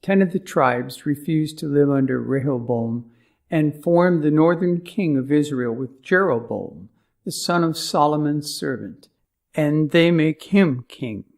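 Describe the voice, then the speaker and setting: A man narrating a scripted Bible lesson as author's notes, reading names and quotations with deliberate emphasis. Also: on the high side, expressive, creaky, darkish tone.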